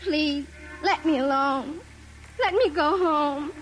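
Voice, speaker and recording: A young working-class nursemaid in an old American radio play. Her voice is high. There is faint music behind the old broadcast sound. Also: slow, rough recording. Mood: distraught. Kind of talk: old-time radio drama acting.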